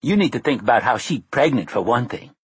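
A man voicing a brash, crude, mocking woman with a rasping voice in an audiobook. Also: medium pitch, big pitch swings, fairly quick, no room sound.

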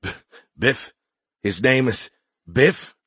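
A man's voice: medium pitch, expressive, takes it slowly, bright, forceful tone, breathy edge, dry recording, heavily acted. A man voicing a casual, joking young male soldier in an audiobook. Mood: incredulous. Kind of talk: audiobook character voice.

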